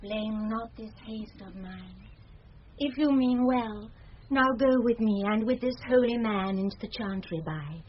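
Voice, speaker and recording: A woman's voice: mid-range voice, a little slow, slight background noise, lightly acted. Female actor as imperious lady in love, stately, earnest, muffled old radio recording. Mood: urgent, tender. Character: noble lady in love, urgent, imperious bride-to-be. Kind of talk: 1970s BBC radio Shakespeare acting.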